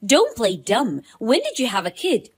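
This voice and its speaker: Actress doing blunt pushy girlfriend type in a story drama, high voice, really animated. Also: moderate pace, breathy edge, exaggerated voice. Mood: indignant, hurt. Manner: blunt, accusing. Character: Allison, pushy, indignant, spoiled but loyal woman friend. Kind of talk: scripted dramatized story dialogue.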